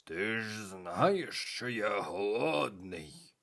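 Slow, growling storybook cannibal, as the reader puts him on, always hungry, lively swings in the voice. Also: low-pitched, vocal fry, exaggerated voice. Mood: menacing. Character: gruff hungry male cannibal in carnival costume.

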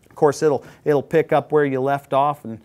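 A man's voice: mid-range voice, little pitch movement, normal speed, a little mellow. A man in his forties, a shop tech, giving a casual product demo in a parts shop, upbeat with lots of "you know" fillers. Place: shop set with shelves of parts.

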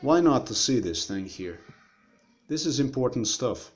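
A man's voice: mid-range voice, big pitch swings, a little slow. Rambling man talking to his webcam. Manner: meandering, repeats himself, addresses viewers directly. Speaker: vlogger. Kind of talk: rambling screen-share commentary.

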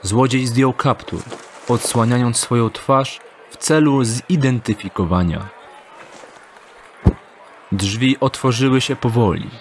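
Slow, measured male audiobook narrator. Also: mid-range voice, slight background noise. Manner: measured, deliberate storytelling.

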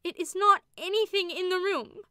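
A woman's voice: very high voice, moderate pace, sharp tone, no echo, studio quality, voice pushed up. A woman voicing a terrified woman who cries out in wild, wailing fear. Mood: frantic horror.

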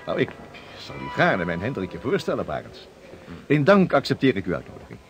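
Animated, courteous gentleman seafarer. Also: fairly low pitch, brisk, faint music behind. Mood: cheerful, courteous. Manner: polite, formal old-fashioned phrasing. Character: courteous seafaring gentleman, cheerful and newly betrothed. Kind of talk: Dutch radio drama acting. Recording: radio play with background ambience noise.

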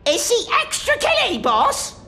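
A woman's voice: very high-pitched, huge pitch swings, unhurried, sharp tone, reverberant, in a large hall, a little hiss or hum, exaggerated voice. A woman voicing an eager, servile goblin-like grot in a video game. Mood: excited. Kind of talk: video game character acting.

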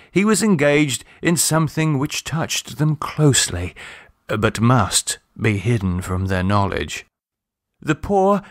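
A man reading a literary short story aloud in a measured, expressive storytelling manner. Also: medium pitch, dry recording, studio quality. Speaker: audiobook reader. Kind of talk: scripted literary story narration.

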